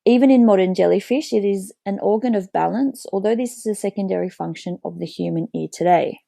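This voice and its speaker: A woman's voice: mid-range voice, fairly quick, vocal fry, warm tone, dry recording, studio quality. A woman biology teacher narrating an educational video, explaining plainly.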